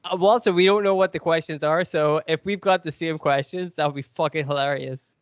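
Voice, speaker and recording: Man, sniffly with a cold, casual podcast host, fairly high voice, dry muffled sound. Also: a little fast, no echo. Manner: chatty, joking, quizmaster.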